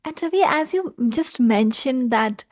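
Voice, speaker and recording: A woman with a fairly high voice, asking her questions at an unhurried, deliberate pace. She leans on fillers as she works toward each question. The sound is dry and close, with no room echo. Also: lively intonation. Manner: slow, careful questioning with fillers. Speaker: interviewer. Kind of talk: casual interview conversation.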